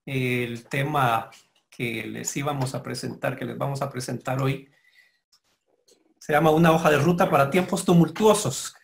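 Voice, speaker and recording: A man delivers a formal lecture in a mid-range voice. He speaks in a measured, explanatory way, pausing now and then and correcting himself as he goes. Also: medium pitch, fairly even intonation, vocal fry. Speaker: guest lecturer.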